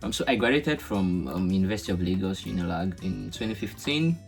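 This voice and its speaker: A man's voice: low voice, animated, a little fast, gravelly, faint music behind. A young man with a Nigerian accent, a guest in a casual chat, explaining things earnestly step by step. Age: young adult.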